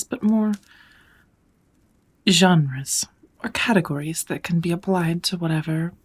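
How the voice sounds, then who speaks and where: A woman plays a kind witch mentoring an apprentice in an ASMR roleplay. Her voice is fairly low and very breathy, often dropping into a whisper. She teaches with gentle, measured patience and steady encouragement. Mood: patient, explanatory. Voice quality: soft, breathy. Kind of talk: ASMR roleplay, second-person scripted teaching.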